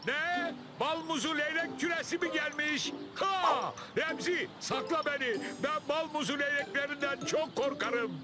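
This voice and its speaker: A man voicing a dopey, easily frightened cartoon elephant, naive and excitable in manner. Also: extremely high pitch, unhurried, hardly any pauses. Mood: startled, alarmed. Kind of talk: cartoon voice acting. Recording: loud music under dialogue.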